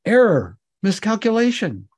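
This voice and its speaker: Male reader as glamorous movie diva, haughty, withering, commanding, husky, animated pitch swings, storybook dialogue. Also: a little slow, heavily acted. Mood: threatening. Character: imperious glamorous female movie star, cutting diva. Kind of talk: audiobook-style character dialogue.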